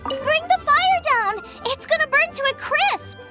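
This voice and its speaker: Excitable, very high-pitched young girl chef. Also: a little slow, soft background music, exaggerated voice. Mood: panicked. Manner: exclamatory, eager. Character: excitable teenage girl chef, cheerful and bossy. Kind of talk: video game voice acting.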